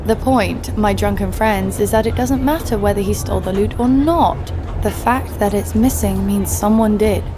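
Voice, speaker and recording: A woman's voice: mid-range voice, moderate pace, few pauses, close to an everyday voice. Woman acting a cocky con-artist sister, noisy background. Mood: brash. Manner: sardonic, cocky, crude. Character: brash, scheming young woman con artist, big sister. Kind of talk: first-person narration and dialogue in audio drama.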